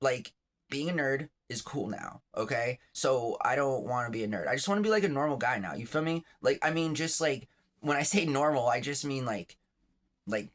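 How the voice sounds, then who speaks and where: A man's voice: medium pitch, animated, normal speed. Rambling chatty man in his thirties. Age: 30s. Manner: chatty and self-deprecating, many fillers and asides. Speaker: vlogger, channel host. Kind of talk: rambling casual vlog monologue. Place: on his bed at home, just woke up.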